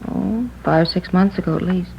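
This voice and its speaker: An actress playing a chatty, distracted market counter clerk in a naturalistic 1950s radio drama, speaking casually and haltingly. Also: female voice, lowish voice, creaky. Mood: casual, recalling. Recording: dull, faintly noisy old radio recording.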